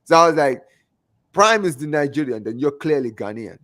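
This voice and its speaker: A man's voice: a little high, moderate pace. A man with a strong Gambian English accent, hosting a casual call-in chat and often repeating himself. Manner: animated, repeats phrases, often asks "you understand what I'm saying?". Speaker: host of a fan call-in show.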